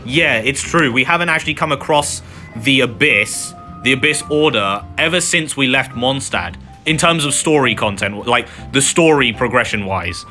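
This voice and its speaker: A man's voice: mid-range voice, expressive, normal speed, bright, forceful tone, faint music behind. A young man casually commenting on a game as he plays, chatty and rambling with many fillers. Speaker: gaming video host. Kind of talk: casual let's-play commentary.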